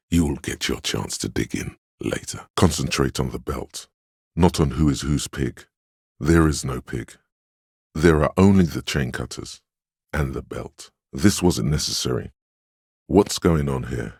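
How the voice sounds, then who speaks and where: A very deep, husky, steadfast inner voice. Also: male voice, no room sound, clean studio sound, heavily acted. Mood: firm, warning. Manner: measured, calmly commanding, dryly reassuring. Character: inner voice of a detective's willpower, steadfast, protective, wry. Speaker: video game voice actor. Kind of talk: scripted game dialogue, second-person inner monologue.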